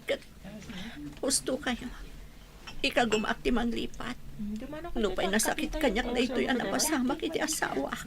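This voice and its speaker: A woman's voice: fairly high pitch, moderate pace, long pauses, a loud crowd, lightly acted. A woman playing a devoted, grieving wife in a radio drama, with a tearful, emotional delivery. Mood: subdued grief.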